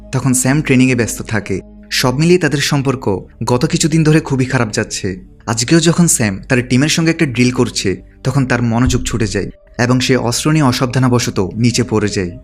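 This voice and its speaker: Brisk male recap narrator over music. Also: medium pitch. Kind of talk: scripted narration of a film plot.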